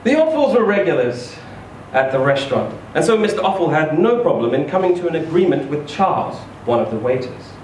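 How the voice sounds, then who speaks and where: A man reading a short story aloud in an expressive, theatrical, emphatic storytelling style. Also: fairly high pitch, brisk, hardly any pauses. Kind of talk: expressive live literary reading. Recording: echoey room, distant mic, faint noise.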